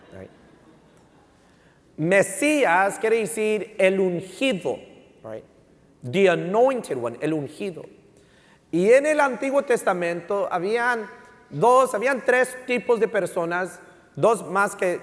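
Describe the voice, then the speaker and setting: A man preaching as a pastor in an emphatic, teaching way, repeating key phrases and switching between Spanish and English. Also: sits high. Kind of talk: sermon with rhetorical questions to the congregation. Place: large indoor church hall. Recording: reverberant hall sound.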